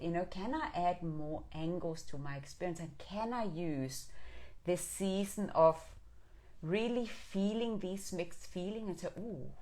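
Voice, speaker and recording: A woman's voice: fairly low pitch, warm tone. A middle-aged woman from Denmark hosting a live stream, speaking in an earnest, affirming and reflective way as she talks with viewers. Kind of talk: reflective inspirational talk, reading and answering viewer comments.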